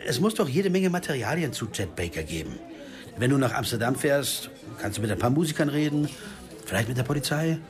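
A man's voice: medium pitch, big pitch swings, a little fast, natural voice. A man playing a reluctant, wary American jazz pianist and former detective in a radio play, dry and guarded. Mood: reflective, measured. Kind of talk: German radio play dialogue and inner narration. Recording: music playing behind the voice. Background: music.

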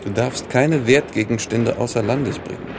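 Gravelly, menacing Nazi sergeant played by a man. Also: medium pitch, moderate pace, noisy background, close to an everyday voice. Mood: mocking, condescending. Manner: domineering, mock-friendly, needling with tag questions. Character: menacing Nazi sergeant bullying a child on a train. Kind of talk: German radio drama acting.

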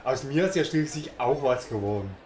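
A man's voice: mid-range voice, lively intonation, moderate pace, darkish tone, echoey room, slight background noise, close to an everyday voice. A man playing a self-important, eager, strict and boastful father in a comedic radio play. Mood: proud. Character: boastful strict father, proud chicken-wing dispatch boss.

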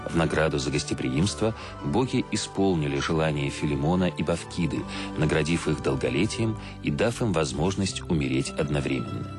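A solemn male narrator of a radio play, reading like an encyclopedia of myths. His voice is extremely deep, formal and measured, set over prominent music.

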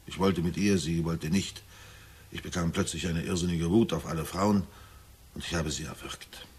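An actor playing a gloomy middle-aged husband in a German radio drama, speaking in a measured storytelling way with dry irony. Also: male voice, low-pitched, steady tone, darkish tone, close to an everyday voice. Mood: grim, hushed recounting. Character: gloomy middle-aged husband recounting a nightmare, wryly forbearing.

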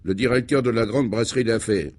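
A man's voice: medium pitch, a little fast, natural voice. A man voicing a breezy, boastful male friend with a hearty, slangy, eager way of talking, in a comic radio serial. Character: breezy, boastful, enthusiastic male pal on the telephone.

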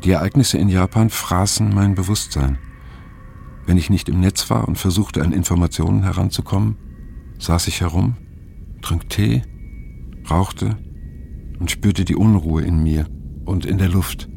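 Weary older guy looking back, really low creaky voice, radio play, faint noise behind. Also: measured pace, pauses often, warm tone. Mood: brooding. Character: weary, unsettled older man looking back on his youth. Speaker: narrator and main character. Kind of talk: introspective audio drama narration and phone dialogue.

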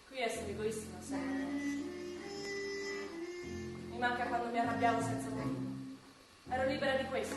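A woman's voice: a little high, close to an everyday voice. An actress playing a wistful dead woman in a radio drama monologue, missing life. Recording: very echoey, distant, music behind.

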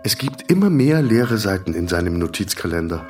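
A man narrating a radio drama in a sober, measured, detached manner, in short sentences. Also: deep voice, big pitch swings, far from the mic, soft background music. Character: sober, detached male storyteller of a hospital tale.

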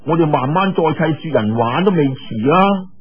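A man's voice: a little high, expressive, moderate pace, muffled recording, lightly acted. A man voicing an earnest, obedient and cautious young man in an audiobook, polite and hesitant.

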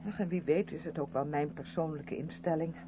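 A woman's voice: low voice, steady tone, brisk, lightly acted. An actress playing a strict, earnest, insistent woman in a radio play, with a probing and emphatic way of speaking. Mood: troubled, defensive. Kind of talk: 1970s Dutch radio play acting. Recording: noisy, tinny band-limited old radio recording.

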